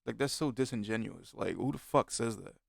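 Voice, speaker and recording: A man's voice: lowish voice, expressive, fairly quick, gravelly, husky, no room sound, clean studio sound. A man giving blunt, exasperated reaction commentary on a video he is watching, swearing often.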